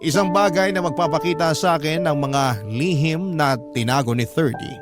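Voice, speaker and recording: Casting: male radio storyteller, slightly high voice, emotive scripted narration, dramatic pauses, clear music bed.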